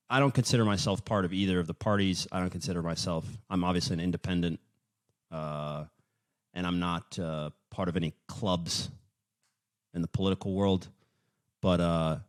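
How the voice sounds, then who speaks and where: A man hosts a podcast and rambles along in a casual, joking way, often poking fun at himself. His voice sits fairly low. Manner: joking, self-deprecating, rambling. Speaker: podcast host.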